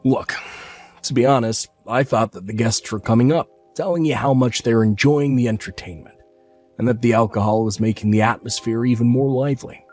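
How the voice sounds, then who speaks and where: A man's voice: mid-range voice, fairly quick, darkish tone, soft background music, close to an everyday voice. A man voicing a careless young brother who talks in a flippant, dismissive way.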